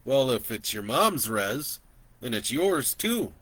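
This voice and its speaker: A man's voice: medium pitch, very animated, takes it slowly, fairly bright tone, no echo, heavily acted. A man voicing an easygoing, welcoming older landowner who rides a horse on his land, in a spoken story. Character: friendly older male horse-riding landowner.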